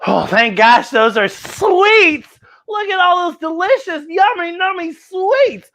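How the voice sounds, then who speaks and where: A woman hosting a children's story time, talking and reading playfully to young kids, with exaggerated exclamations, drawn-out words and questions to the listeners. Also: very high voice, sing-song, fairly bright tone. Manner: draws out words for emphasis. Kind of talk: playful read-aloud and chat to young children.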